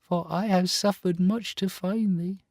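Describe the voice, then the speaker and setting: A man voicing a grieving beggar mother who pleads with her son in a high, soft voice. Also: fairly even intonation, measured pace, no room sound, studio quality. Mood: pleading.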